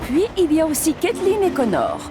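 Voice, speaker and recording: Female children's narrator, slightly high, very animated delivery, music underneath. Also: a little fast. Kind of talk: scripted children's story narration. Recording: music behind the voice.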